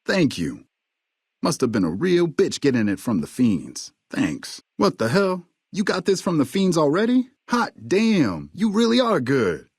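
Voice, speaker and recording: An actor playing a nervous, foul-mouthed smuggler and hustler in a video game, cocky but jittery. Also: male voice, fairly high pitch, huge pitch swings, unhurried, a little mellow, breathy edge, no room sound, studio quality, heavily acted. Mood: surprised, grateful. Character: fast-talking, foul-mouthed male hustler, nervous smuggler under blackmail. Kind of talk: video game dialogue acting.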